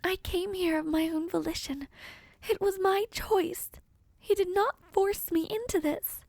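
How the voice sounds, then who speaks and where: A woman playing a gravely ill young princess in an audio drama, gentle but resolute, with soft, breathy, formal and pleading speech. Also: extremely high pitch, fairly even intonation, unhurried, dry recording, exaggerated voice. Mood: earnest pleading. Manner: earnest, formal storybook diction. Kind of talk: audio roleplay drama acting.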